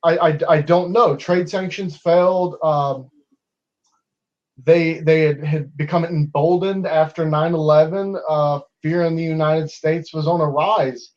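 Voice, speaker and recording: A man's voice: fairly high pitch, fairly even intonation, measured pace, darkish tone, highs cut off. A man in his twenties debating online in a casual way, with many restarts and fillers as he argues. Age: 20s.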